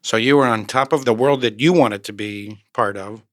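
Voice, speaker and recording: A man's voice: medium pitch, normal speed, no echo. Male interview host, dry close sound. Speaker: podcast host, rabbi. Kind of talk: thoughtful interview questioning.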